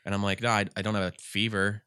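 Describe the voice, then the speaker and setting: A man with a congested voice, chatting casually about games as a podcast co-host and rambling through animated retellings. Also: on the low side, fast talker, smooth, no room sound, studio quality. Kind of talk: casual gaming chat.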